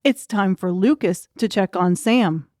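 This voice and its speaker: A woman's voice: mid-range voice, unhurried, darkish tone, no room sound, studio quality. A woman reading a scripted audiobook story as a dramatic narrator. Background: music.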